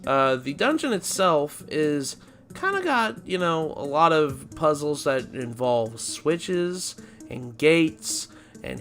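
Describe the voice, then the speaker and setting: A man hosting a video game let's play, losing his voice and sounding raspy, rambling and correcting himself as he talks. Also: fairly high pitch, fairly bright tone. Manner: rambling, self-correcting, chatty. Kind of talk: casual gameplay commentary.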